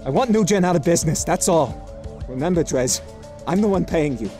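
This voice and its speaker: A curt, uneasy male criminal boss in a suit-and-office world, acted by a man, with a slightly high voice. He sounds firm in this audio drama, over light music. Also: lively intonation, fairly quick, warm tone, lightly acted. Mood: stern. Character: tense, uneasy male criminal businessman hiring an arsonist.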